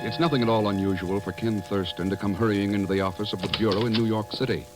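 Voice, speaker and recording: A man's voice: fairly low pitch, steady tone, fast talker, loud music behind the voice. A man reading scripted copy as a polished, emphatic announcer in 1950s radio style.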